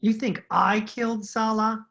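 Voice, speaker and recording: A woman playing a nervous, evasive man in a radio detective drama, husky, hesitant and formally polite. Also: fairly high pitch, level tone, a little slow, a bit bright, exaggerated voice. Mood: nervous, defensive. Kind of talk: old-time radio detective acting. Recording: home recording over video call.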